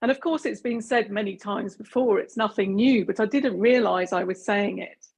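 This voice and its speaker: A woman's voice: medium pitch, normal speed, vocal fry, darkish tone, husky. A woman from Britain giving a chatty webinar talk over a video call, with many fillers and restarts. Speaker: presenter. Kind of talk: webinar presentation.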